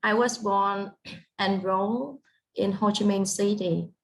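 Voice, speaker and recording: A woman from Vietnam with a Vietnamese accent, giving an online presentation in careful, halting English over a video call. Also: medium pitch, steady tone, poor audio. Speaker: guest speaker.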